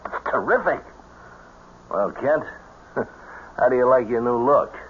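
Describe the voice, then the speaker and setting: An actor playing an excitable, slow-witted male accomplice to a crook, animated and questioning, in an old-time radio drama. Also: mid-range voice, close to an everyday voice. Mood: impressed. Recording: tinny, noisy old radio recording.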